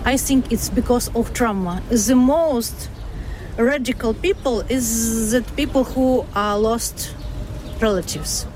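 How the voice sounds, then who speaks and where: A woman from Ukraine, a photographer, speaking English slowly, earnestly and haltingly. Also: fairly high pitch, crowd noise, rough recording. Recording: outdoors, car traffic noise.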